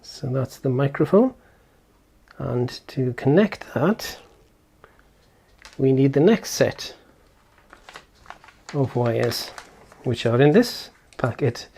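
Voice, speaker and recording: A man's voice: medium pitch, expressive, slow, creaky, pauses often, dark tone, husky. Man casually talking through an unboxing. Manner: unscripted, thinking aloud. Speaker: video host. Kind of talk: casual unboxing and setup walkthrough.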